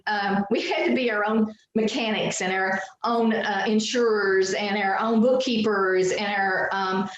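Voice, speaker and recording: A woman's voice: medium pitch, steady tone, measured pace, few pauses, dull audio. A middle-aged woman from Southwest Virginia with a strong Appalachian twang, telling folksy stories as an interview guest. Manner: folksy, chatty, laughs easily, many fillers. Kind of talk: casual storytelling interview. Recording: very echoey room with faint noise.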